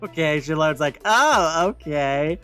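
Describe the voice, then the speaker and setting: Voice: medium pitch, lively intonation, slow pace, fairly bright tone. Casual let's play streamer with music behind. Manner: reacting aloud, conversational. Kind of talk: casual gameplay commentary. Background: game music.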